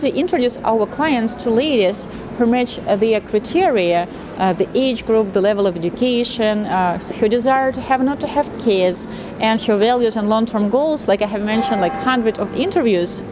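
High-voiced woman presenting on a noisy street. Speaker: matchmaker presenting a video channel. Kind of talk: talking to camera, explanatory with anecdotes. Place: outdoors on a busy pedestrian city street. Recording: clear outdoor city street noise.

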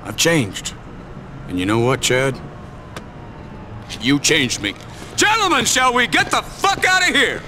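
Casting: sardonic paroled biker for a game, slow sarcastic delivery, swinging pitch, faint traffic behind. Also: male voice, big pitch swings, bright, forceful tone, heavily acted. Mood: sarcastic piety. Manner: drawling, sarcastic. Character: sardonic ex-con biker feigning pious reform. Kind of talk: video game cutscene acting.

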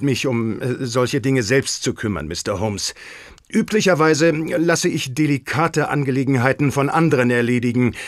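A man plays a proud king in a radio drama. His diction is formal and stately, with a guarded, secretive air. The pitch sits mid-range and the sound is dry. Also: no room sound, close to an everyday voice. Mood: dignified, confiding. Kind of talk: German radio play acting.

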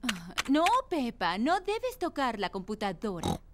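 A woman voicing a gentle but firm, busy mother pig in a children's cartoon dub. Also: a little high, big pitch swings, a little slow. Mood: gently firm.

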